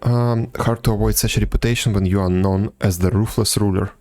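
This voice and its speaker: A man's voice: fairly low pitch, fairly even intonation, brisk, dark tone, faint music behind. A man casually streaming a game, making dry, offhand comments and reading dialogue aloud.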